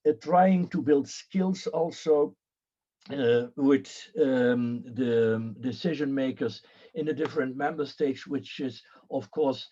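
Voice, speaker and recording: A man's voice: medium pitch, mellow. Hesitant man on a muffled call. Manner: hesitant, many fillers and restarts. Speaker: panelist. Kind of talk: conference panel remarks.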